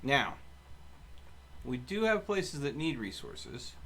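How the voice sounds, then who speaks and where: A man's voice: medium pitch, big pitch swings, slow pace, a bit bright, a little hiss or hum. A man streaming a video game and bantering casually with a co-player in a wordy, argumentative, exasperated way.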